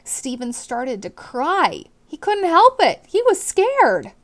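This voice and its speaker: A woman teacher reading aloud to children in an expressive storytime style, stressing key words dramatically and putting questions to her listeners. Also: high voice, slow pace.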